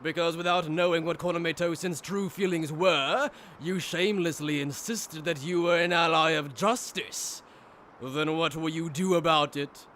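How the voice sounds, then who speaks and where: A man acts a sly, haughty anime villain in a fairly high voice. He taunts theatrically, savouring his mockery. There is faint noise behind the recording. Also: moderate pace, fairly bright tone, close to an everyday voice. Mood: mocking. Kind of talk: anime fan dub acting.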